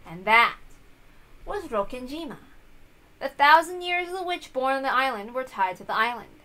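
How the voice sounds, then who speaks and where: A woman with a high-pitched, bright voice reads aloud from a visual novel. Her delivery is scripted narration, told dramatically as a story. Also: big pitch swings, normal speed, poor audio.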